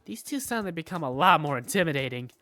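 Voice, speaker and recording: A narrator reading first-person scripted visual novel story text in an expressive storytelling manner. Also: mid-range voice, fast, soft background music. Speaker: narrator and lead character.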